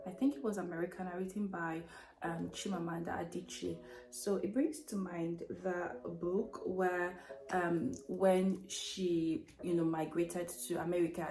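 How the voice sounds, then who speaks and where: A woman's voice: fairly low pitch, darkish tone. A young woman with a Nigerian accent, chatting casually through a personal story, self-mocking and laughing often. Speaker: vlogger telling a story. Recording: echoey room, faint music behind.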